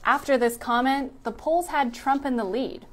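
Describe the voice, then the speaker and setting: A woman's voice: high-pitched, moderate pace. A woman reading a scripted narration in the style of a news recap.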